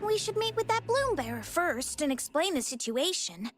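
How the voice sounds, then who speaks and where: Excitable, very high-pitched young girl. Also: normal speed. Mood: eager, thoughtful. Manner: exclamatory, expressive. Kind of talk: video game voice acting. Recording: music behind the voice.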